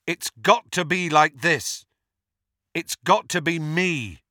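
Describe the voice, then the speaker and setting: Guy doing a brave teen boy wizard, defiant and earnest, raised pitch, forceful, audiobook. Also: takes it slowly, no room sound, studio quality. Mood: resolute. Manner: earnest, firm, challenging.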